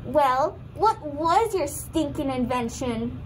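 A woman's voice: high-pitched, animated, slow pace, poor audio. A young woman voicing a snooty, dismissive female puppet in a scripted puppet show. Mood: scornful. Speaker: student puppeteer. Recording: faint background noise.

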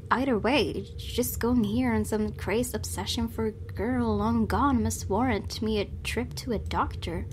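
Female let's play host, average pitch, dramatic read-aloud narration, slight noise behind the voice. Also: darkish tone.

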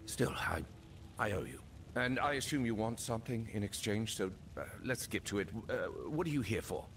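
A gruff, middle-aged port boss in a fantasy game. His fairly low voice swings widely as he grumbles, brusque and world-weary, with game ambience behind him. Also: expressive, normal speed, a bit bright, slight background noise. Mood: grudging. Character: gruff, irritable male port master of a swamp island. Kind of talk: video game voice acting.

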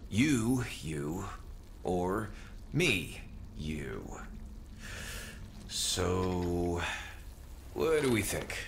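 A battle-worn, wry young man in a game cutscene. He drawls very slowly and haltingly, with lively swings in pitch. The sound is roomy, with faint noise behind it. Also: heavily acted. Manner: sardonic, halting, laconic. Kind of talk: video game cutscene acting.

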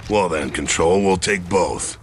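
An actor playing a grizzled male squad sergeant who gives terse, commanding orders in a deep, gravelly voice. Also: a little slow, slight background noise.

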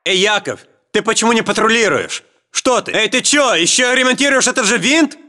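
A man's voice: high-pitched, expressive, a little fast, pushed and bright. A man playing a chatty, inquisitive Russian soldier in a military video game. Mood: nosy, chatty. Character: inquisitive Russian soldier making small talk.

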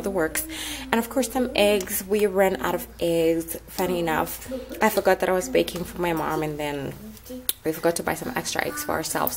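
Chatty South African woman, noisy recording. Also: fairly low pitch. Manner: informal, chatty, frequent "like" asides. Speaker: family vlogger, mother. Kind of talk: casual chatty haul vlog. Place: indoors at home, kitchen and table. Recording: clearly noisy home recording with clicks.

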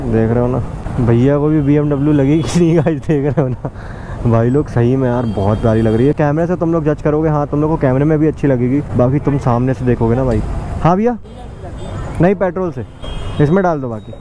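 A young man motovlogging outdoors, chatting excitedly and boastfully to his viewers through a helmet camera mic. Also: mid-range voice, brisk. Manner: excited, boastful, keeps addressing viewers as brothers. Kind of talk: casual vlog chat to viewers. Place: outdoors on city streets on a motorbike. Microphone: helmet-mounted camera mic. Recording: noisy street recording with traffic. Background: traffic and vehicle noise.

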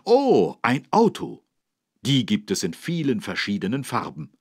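A husky-voiced man narrating a children's learning game, explaining things in an encouraging, animated way with careful phrasing. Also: mid-range voice, a little slow, no room sound, clean studio sound. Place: dry studio.

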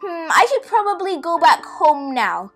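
A woman voicing an excitable, wistful poor girl in a children's story, exclaiming and stammering. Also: very high-pitched, a little slow, faint music behind, heavily acted. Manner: breathless gasps, stammering, repeated exclamations. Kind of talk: amateur storybook character voice.

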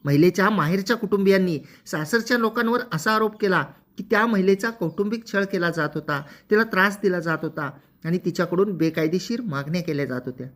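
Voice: mid-range voice, quick pace, few pauses, a bit bright. A legal explainer narrates a court judgment formally and methodically, repeating phrases to clarify.

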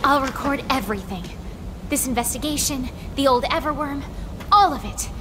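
A woman's voice: high voice, normal speed, background noise, natural voice. A woman playing a devoted, earnest young field partner to a hunter in a video game cutscene, speaking emotively. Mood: determined. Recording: loud music over the voice.

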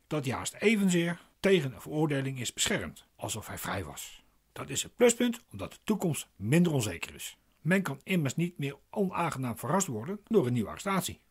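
A man's voice: fairly high pitch, husky, full character voice. An actor playing a glib, pedantic man explaining legal procedure in a radio play, insistent and didactic.

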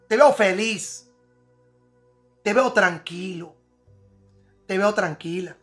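A man's voice: high voice, bright, forceful tone. A man giving a tarot prediction, repeating and rephrasing each line with emphasis. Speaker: tarot reader.

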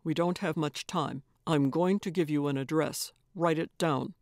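A woman's voice: deep voice, moderate pace, darkish tone, no room sound, clean studio sound. A woman voicing a commanding, secretive man in an audiobook, terse and tightly controlled as the figure gives urgent orders. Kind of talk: audiobook character voice.

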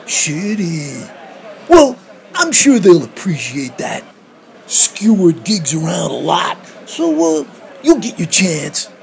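An actor playing a goofy, boastful male fast-food manager in an audio play, talking in slacker slang. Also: a little high, big pitch swings, gravelly, heavily acted. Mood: casual. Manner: bragging, laid-back slang.

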